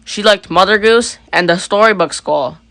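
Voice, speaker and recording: A woman narrates a children's storybook. Her voice sits at a middle pitch, with a bright, clearly forward tone. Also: moderate pace, dry recording. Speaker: storybook reader.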